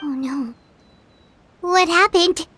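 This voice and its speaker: Squeaky, childlike little companion. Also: female voice, slow pace, slight background noise, exaggerated voice. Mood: concerned. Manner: earnest, inquisitive, expressive. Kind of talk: cartoonish game voice acting.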